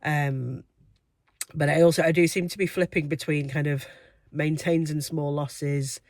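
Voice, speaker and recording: A woman talks to her camera about her weight-loss progress, chatty and reflective, with plenty of ums and little laughs. Her voice sits low for a woman. The sound is close and dry, with no room ring. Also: steady tone, a little slow, darkish tone, no room sound. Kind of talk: casual talking to camera.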